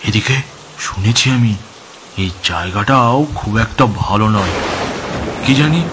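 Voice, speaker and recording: A man voicing an uneasy man hurrying home late in a horror cartoon, with loud rain and thunder over his voice. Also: fairly low pitch, lively intonation, measured pace, background noise. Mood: uneasy muttering. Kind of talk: Bengali horror cartoon dub.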